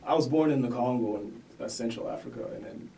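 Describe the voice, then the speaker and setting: Laid-back young man, mellow voice. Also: mid-range voice, fast talker, creaky, recorded from a distance, slight background noise, rough recording. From Canada. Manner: laid-back, loose, with fillers. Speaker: guest rapper. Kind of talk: casual interview chat.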